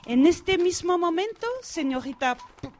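A fussy female astronomer, acted by a woman in a comic radio drama. Her voice is high and formal, tripping haltingly over words, with faint noise and clicking behind it. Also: darkish tone. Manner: stumbling, formal. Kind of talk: radio theatre, comic interview.

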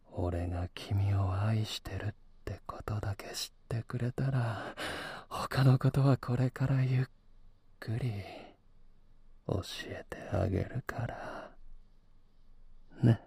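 A male actor playing an obsessive young stalker in an audio drama, coaxing softly in a breathy whisper, very close to the microphone. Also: fairly low pitch, no room sound, full character voice. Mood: tender, menacing. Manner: slow, coaxing, soft-spoken.

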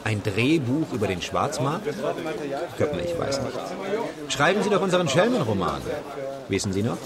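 A roguish, down-at-heel film actor in a radio play. He is theatrical and jesting, with a wildly varied melody. A busy outdoor crowd surrounds him. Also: medium pitch. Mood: jovial, sardonic. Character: hard-up, roguish film actor, garrulous and sardonic. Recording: crowd and outdoor street sounds behind.

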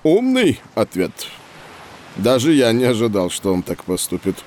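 A man playing a patient scientist who explains experiments to children in a measured, didactic way in an educational audio drama. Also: medium pitch, huge pitch swings, darkish tone, a little hiss or hum. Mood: impressed, thoughtful.

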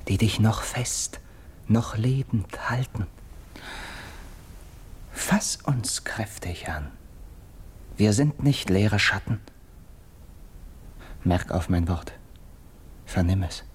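A man's voice: on the low side, slight background noise. An actor playing a steadfast, loyal warrior friend in a classical radio verse drama, earnest and urgent in his declamation.

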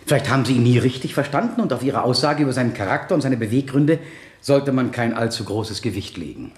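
A man's voice: mid-range voice, lively intonation, quick pace, close to an everyday voice. A man playing a relentless prosecutor cross-examining a witness in a 1970s German radio drama. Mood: pointed, doubting. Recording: roomy radio play studio sound.

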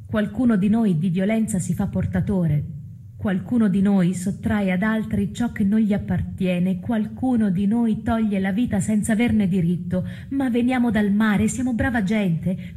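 An actress speaking as a self-righteous chorus of townspeople in a radio drama, in a measured, solemn, proclamatory delivery. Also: female voice, mid-range voice, mellow, husky. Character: self-righteous citizen chorus, smug and judging.

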